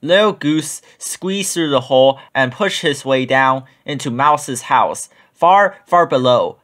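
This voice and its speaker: A man narrating a children's picture book in an expressive read-aloud style. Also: medium pitch, takes it slowly.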